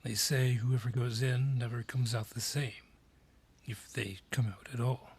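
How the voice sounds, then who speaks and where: A man voicing an ancient, gruff dryad forest guardian in a fantasy audio drama, husky, brusque and ominous in delivery. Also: mid-range voice, steady tone, darkish tone. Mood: ominous, wry. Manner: deliberate, brusque, ominous storyteller. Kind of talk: fantasy audio roleplay acting.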